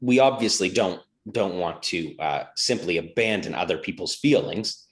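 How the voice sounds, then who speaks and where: A man's voice: sits low, moderate pace. A man on a casual morning talk show, speaking thoughtfully and hesitantly, with frequent restarts. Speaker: co-host.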